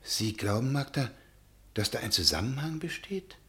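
An earnest, naive scholar. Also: male voice, medium pitch, sing-song, moderate pace, bright, forceful tone. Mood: anxious. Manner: earnest, pleading. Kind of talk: radio play acting.

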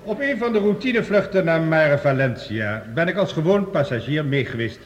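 A man playing an earnest scientist in a radio drama, delivering a factual report. His voice is fairly high and lively in pitch, measured and formal, with long hall reverb. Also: muffled recording, a little hiss or hum, lightly acted. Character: earnest male scientist reporting research, matter-of-fact colleague.

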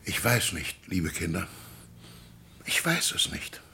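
An actor playing a weary, doubtful village pastor in a poetic radio drama, answering in halting, resigned phrases. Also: male voice, sits low, very animated, creaky, sharp tone, in a whisper, exaggerated voice.

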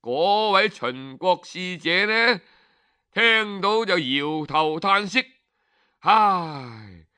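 A man from telling a story in an expressive, colloquial oral storytelling style, drawing out his phrase endings. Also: sits high, slow pace, smooth. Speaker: storyteller, audiobook narrator. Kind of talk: traditional Cantonese oral storytelling narration.

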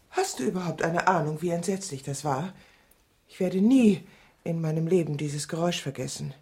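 Gravelly, husky, intense middle-aged woman. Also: sits low, darkish tone, heavily acted. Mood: intense, reproachful. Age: 50s. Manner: intense, bitter, swinging from pleading to insistent. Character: lovesick, scheming, defensive insurance clerk woman, fifty-two. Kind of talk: radio drama acting, dialogue and confessional monologue.

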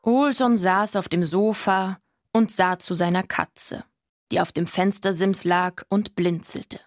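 An actress playing a measured, wistful narrator of a quiet literary story in a radio play. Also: female voice, mid-range voice, steady tone, natural voice.

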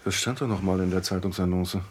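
A man's voice: sits low, steady tone, quick pace, slight background noise, natural voice. An actor playing a sceptical, wry man in his thirties, an amateur investigator in a German radio drama. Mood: wry, dismissive. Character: sceptical, wry younger brother, an architect turned amateur investigator.

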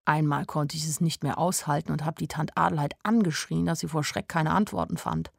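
A woman's voice: low-pitched, steady tone, fairly quick, gravelly, slightly rough, no room sound, studio quality, full character voice. An actress playing a sensitive young woman who tells her own story in an intimate, literary radio drama narration. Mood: reflective. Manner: literary, intimate first-person telling.

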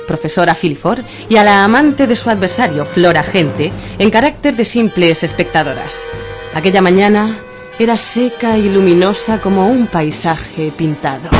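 A woman narrating a radio drama in a dramatic, literary and deliberate style. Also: mid-range voice. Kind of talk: theatrical scripted narration of absurdist radio fiction. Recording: music bed under the voice.

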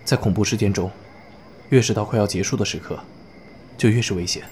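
A man playing a composed, attentive young player in a horror audio drama, calm, measured and serious. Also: fairly low pitch, a little hiss or hum, lightly acted. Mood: serious, informative.

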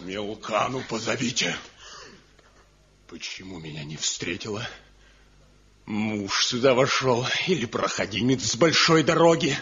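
A tormented master craftsman speaks in a rather low, husky voice. He is bitter and despairing, weighing each rhetorical phrase very slowly. It is an old Soviet radio play with muffled, lo-fi sound. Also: fairly bright tone, exaggerated voice. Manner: anguished, slow, rhetorical, heavy with bitterness. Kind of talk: Soviet radio drama acting. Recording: dull lo-fi archival 1970 radio recording.

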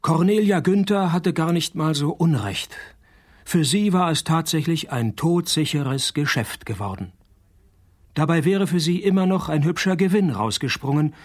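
A deadpan, higher-voiced male detective. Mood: wry narrating. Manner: deadpan, laconic. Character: wry, cynical, unflappable male private detective narrator. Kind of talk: noir-parody radio play narration and dialogue.

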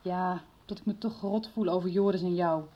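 A woman's voice: fairly low pitch, steady tone, moderate pace, natural voice. A woman acting a frank, concerned confidante in a Dutch radio soap. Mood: friendly, reassuring. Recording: dull 1990s radio broadcast recording.

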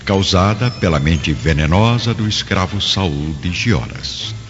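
Um homem narrando uma radionovela como contador de histórias solene, em estilo dramático. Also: male voice, deep voice, lively intonation, unhurried. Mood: solemn, dramatic. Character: solemn omniscient storyteller guiding listeners between scenes. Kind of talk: dramatic scripted narration. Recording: long reverb, lo-fi, music bed.

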